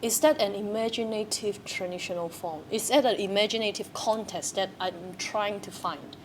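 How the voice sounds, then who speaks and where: A woman's voice: medium pitch, steady tone, moderate pace. A young woman, a theatre practitioner, talking in a searching, questioning way and often repeating herself. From Hong Kong. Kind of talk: reflective conversation. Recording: faint background noise.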